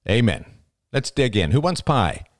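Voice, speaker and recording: A man voicing a devout, hearty elderly father, speaking in a measured, declaiming way for a story. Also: low voice, animated, no room sound, studio quality. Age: older.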